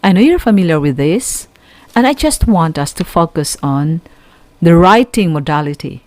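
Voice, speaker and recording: A woman with a Filipino accent giving a scripted radio lecture as a teacher, deliberate and instructional, speaking directly to her students. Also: on the low side, darkish tone, no echo. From Philippines. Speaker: teacher hosting a radio school lesson.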